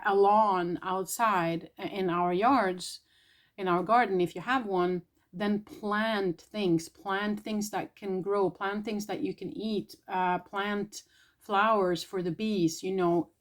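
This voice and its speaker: A woman talks through spiritual messages in a relaxed vlog. Her delivery is soft and hesitant, full of pauses, and her throat sounds a bit irritated. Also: medium pitch. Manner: soft, tentative, pausing often. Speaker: spiritual channeler.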